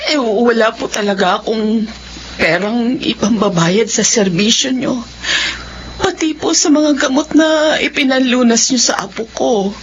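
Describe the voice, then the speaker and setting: A woman's voice: fairly high pitch, a little slow, a little hiss or hum, lightly acted. A woman playing a poor elderly grandmother in a Filipino radio drama, pleading and stammering with emotion. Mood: humble, sorrowful. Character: poor elderly grandmother, desperate street vendor, humbly grateful. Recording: muffled audio under loud music.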